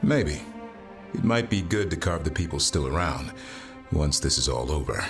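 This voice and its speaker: A fantasy game warrior with a low, deep voice that swings widely in pitch. He is dry-humoured and deadpan, with faint music behind him. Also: normal speed, heavily acted. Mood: dry teasing. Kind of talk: video game voice acting.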